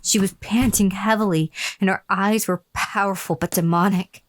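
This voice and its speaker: Woman acting fearful captive narrator, mid-pitched. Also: steady tone, normal speed, slight background noise, close to an everyday voice. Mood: ominous, fearful. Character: frail, fearful captive lover recounting her torment. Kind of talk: dramatic first-person narration in horror audio drama.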